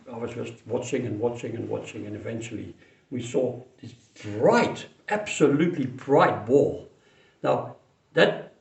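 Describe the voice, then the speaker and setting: Older man, gravelly, unhurried storyteller, echoey room. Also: mid-range voice, darkish tone. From Indonesia. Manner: unhurried, fond, with restarts and pauses. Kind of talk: personal memory told to camera. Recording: roomy echo, mic some distance away.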